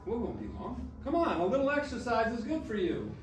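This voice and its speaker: A man's voice: very high voice, huge pitch swings, a little fast, full character voice. A man playing a wry, affectionate old grandfather in a staged musical reading, hedging and stumbling over his words. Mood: gently bossy. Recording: roomy, distant stage recording with piano.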